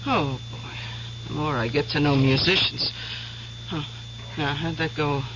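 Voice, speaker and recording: A woman's voice: deep voice, a little slow. An actress playing a casual, baffled young woman who works among musicians in a radio drama. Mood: baffled, hesitant. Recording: dull hissy old radio recording.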